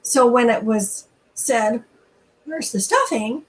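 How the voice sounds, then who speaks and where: A middle-aged woman hosting a church storytime, talking informally and reading aloud in an expressive, conversational way straight to her listeners, recorded slightly away from the mic. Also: a little high, takes it slowly, distant mic, low-quality recording.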